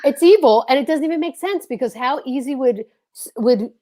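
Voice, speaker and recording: An American woman hosts a casual chat on a video call. Her voice sits a little high, and she speaks effusively, leaning on her words for emphasis. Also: fairly quick, mellow. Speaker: host.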